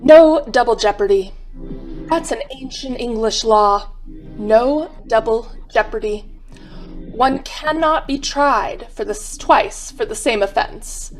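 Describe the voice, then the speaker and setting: A woman narrating a radio mystery in a measured, formal, dramatic storytelling style. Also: on the high side, soft background music, rough recording.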